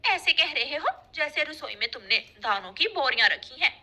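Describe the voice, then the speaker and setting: Woman as a sharp nagging cartoon crow wife. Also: high voice, sing-song, normal speed, pitched above the performer's own voice, heavily acted. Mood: exasperated. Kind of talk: cartoon story voice acting.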